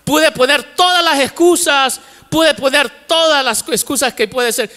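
High-voiced man preaching in a big hall. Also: measured pace, pushed and bright. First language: English. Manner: fervent preaching with repetition, mixing in English words. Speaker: pastor. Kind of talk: sermon. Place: large church stage with lights. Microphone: handheld microphone. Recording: long hall reverb from church auditorium.

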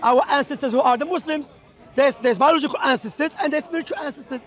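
High-pitched man preaching in a park crowd. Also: fast talker. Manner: insistent, repeats words, reads scripture aloud. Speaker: street preacher debating a passer-by. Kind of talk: street debate. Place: outdoors in a busy city park. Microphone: handheld camera close by. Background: crowd chatter.

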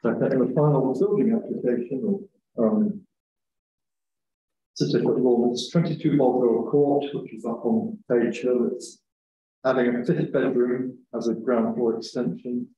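A man chairing a town council planning committee meeting, calling votes and moving through items briskly. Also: medium pitch, steady tone, mellow, muffled recording, slight background noise. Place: large meeting room. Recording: echoey room with faint ambience.

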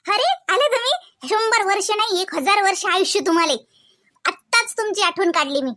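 Very high-voiced, playful young housewife played by a woman. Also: lively intonation, moderate pace, pushed and bright, dry recording, studio quality, heavily acted. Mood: teasing, coaxing. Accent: Varhadi Marathi from Vidarbha. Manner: chatty, dramatic, giggly. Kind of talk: comedy cartoon acting.

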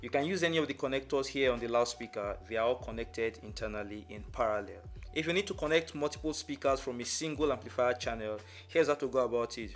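A young man speaks at an average male pitch as he presents a tutorial. His delivery is measured and methodical, explaining each step in order to the viewer. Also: soft background music. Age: young adult. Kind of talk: instructional explanation to camera. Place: small furnished room indoors.

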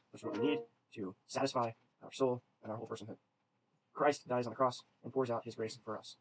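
Male teacher, 30s, rapid conversational webcam lecture, constant "right?" check-ins, faint room hiss. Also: mid-range voice, darkish tone, poor audio. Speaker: religion teacher. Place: small indoor room. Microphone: webcam at desk.

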